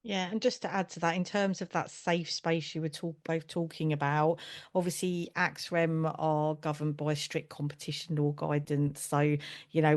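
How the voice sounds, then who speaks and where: A woman co-hosting a podcast, speaking in a brisk, matter-of-fact way. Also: deep voice, darkish tone. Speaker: podcast co-host. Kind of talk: conversational podcast interview.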